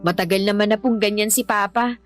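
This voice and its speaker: An actress playing a frank, resentful daughter in a Filipino radio drama, exasperated and speaking with a Filipino accent. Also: female voice, mid-range voice, steady tone, fairly quick, soft background music, lightly acted. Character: resentful young daughter, outspoken but respectful to mother.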